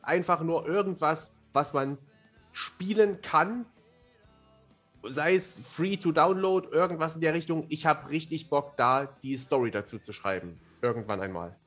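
Higher-voiced man chatting as guest. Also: measured pace, fairly bright tone, soft background music. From Germany. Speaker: podcast guest, fantasy author. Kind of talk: casual interview chat.

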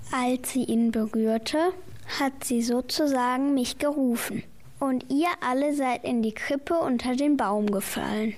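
A woman playing a patient Christmas angel in an amateur radio play, explaining the nativity story with careful reading. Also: sits high.

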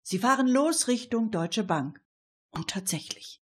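High, husky woman radio narrator. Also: expressive, unhurried. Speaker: radio show presenter and narrator. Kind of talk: scripted narration.

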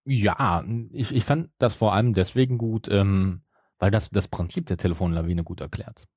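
A man's voice: lowish voice, steady tone, normal speed, a little mellow, no echo, clean studio sound. A middle-aged man hosting a podcast in casual chat, explaining and qualifying his points. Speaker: podcast host. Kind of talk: casual chat about an audio drama series.

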